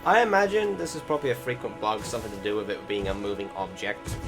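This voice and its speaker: A man's voice: lowish voice, fast. A man giving a casual, informal voice-over commentary on gameplay, rambling and hesitant, with some swearing. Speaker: game video commentator. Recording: loud music behind the voice. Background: loud music.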